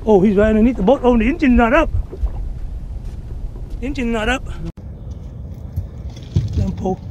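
A man hosting a fishing vlog from a small boat, giving excited commentary, whooping and repeating himself while fighting a fish. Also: extremely high pitch, moderate pace, muffled recording. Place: small open boat on a wide river delta. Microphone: action camera on the boat. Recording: noisy outdoor action-cam audio. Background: wind, water and boat noise.

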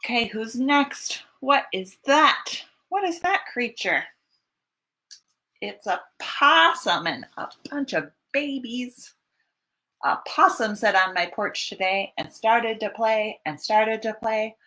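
A woman in her thirties reads a children's story aloud in a small room. Her voice is fairly high and bright, with lively, expressive swings and an unhurried teacherly delivery. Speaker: children's library storytime host. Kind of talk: picture-book read-aloud for young children. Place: small home room, indoors.

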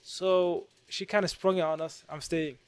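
A young man from Sweden, a podcast guest, talking in a relaxed, easygoing way into a studio boom mic. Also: normal speed, clear, resonant tone. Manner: relaxed, easygoing storytelling with soft laughs. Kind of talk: casual interview talk. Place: study room lined with bookshelves. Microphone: studio mic on boom arm, headphones on.

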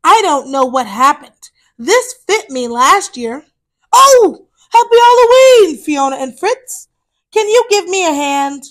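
A woman's voice: sits high, very animated, slow pace, fairly bright tone, heavily acted. A woman voicing a flustered but friendly male tiger in a children's storybook. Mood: puzzled.

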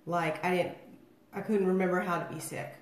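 A woman's voice: on the low side, steady tone, normal speed. A woman, getting over a cold and a little nasal, chatting rambly on a home livestream while mixing drinks at her table. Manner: rambling and chatty, many fillers. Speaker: host of a home cocktail livestream. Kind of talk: casual livestream chat. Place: dining room at home, indoors. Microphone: phone or webcam across the table. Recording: echoey room.

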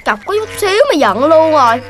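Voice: high-pitched, very animated, fairly quick. A voice actor playing a mischievous, whiny little puppy boy in a children's cartoon, with a southern Vietnamese accent. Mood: cocky, dismissive. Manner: childish, whining. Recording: music behind the voice.

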